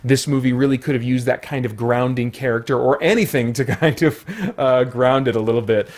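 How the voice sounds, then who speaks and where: A man's voice: medium pitch, steady tone, brisk, a little mellow. A man giving a casual movie review to viewers, talking in an earnest, conversational way as he thinks aloud. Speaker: movie reviewer and channel host.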